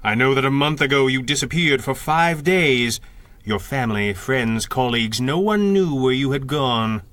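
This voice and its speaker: An actor playing a stern masked crime fighter in a radio drama, with formal, authoritative delivery. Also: male voice, mid-range voice, lively intonation, normal speed, a bit bright, no room sound, natural voice. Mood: stern, pressing. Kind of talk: 1930s-style radio drama acting.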